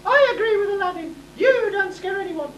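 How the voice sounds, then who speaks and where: Woman doing a shrill, exclaiming pantomime character. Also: animated, brisk. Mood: defiant. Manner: exclaiming, overdramatic. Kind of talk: amateur stage pantomime. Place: amateur stage show in a hall, audience behind. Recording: echoey hall, far mic, audience noise.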